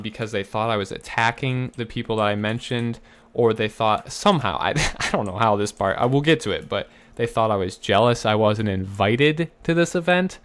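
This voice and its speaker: A young man with a slightly raspy voice giving casual gameplay commentary, explaining himself earnestly with fillers and restarts. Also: lowish voice, fairly bright tone. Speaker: gaming YouTuber.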